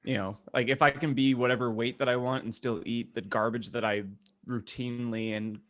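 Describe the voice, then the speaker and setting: A man with a mid-range voice chats as a podcast co-host. His delivery is casual and jokey, like relaxed banter among regulars. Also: mid-range voice, brisk. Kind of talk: casual joking chat.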